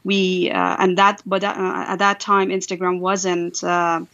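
Iranian woman guest, hesitant and gravelly. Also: lowish voice, flat delivery, measured pace, fairly bright tone, highs cut off. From Iran. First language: Farsi. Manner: hesitant, many fillers and restarts. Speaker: guest political analyst. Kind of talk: radio interview answer.

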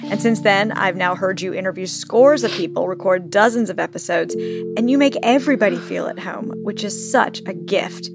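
Woman, medium pitch, heartfelt prepared message, loud guitar music behind. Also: moderate pace, creaky. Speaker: podcast team member.

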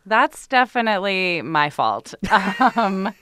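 A woman's voice: mid-range voice, very animated, takes it slowly, sharp tone, clean tone. A woman comedian chatting casually as a guest, with a self-deprecating manner. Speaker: comedian and podcast co-host. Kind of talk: casual chat.

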